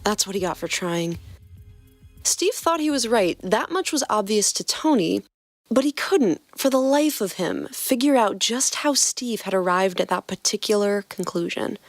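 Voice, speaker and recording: Woman reading fan fiction over soft music. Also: medium pitch, lively intonation, normal speed, no echo, studio quality. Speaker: podcast co-host and reader. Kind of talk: reading fan fiction aloud and casual banter.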